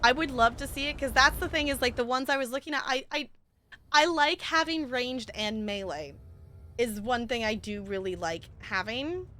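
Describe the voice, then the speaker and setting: A woman live streaming a game, chatting casually with viewers in an expressive, playful way. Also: on the high side, moderate pace, slight background noise. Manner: expressive, playful exclamations and pleading.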